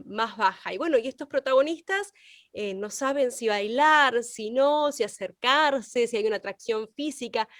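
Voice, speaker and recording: Female Argentine instructor, high-pitched, expressive, illustrating points with examples, close dry sound. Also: dry recording. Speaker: radio language teacher. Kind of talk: explaining with acted-out examples.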